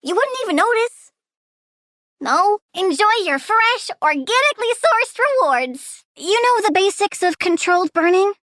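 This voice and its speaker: A woman's voice: extremely high pitch, very animated, unhurried, fairly bright tone, dry recording, studio quality, heavily acted. A woman playing a bratty, morbid young girl plant villainess in a video game, with a childlike, sassy manner. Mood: gleeful, eager. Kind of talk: video game character voice acting.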